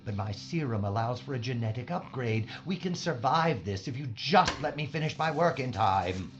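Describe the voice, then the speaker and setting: A man's voice: medium pitch, expressive, moderate pace, music in the background, close to an everyday voice. A man playing an obsessed, pleading mad scientist in a sci-fi audio drama, with a dramatic, grandiose delivery. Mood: fervent, insistent. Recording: dull, muffled audio drama recording.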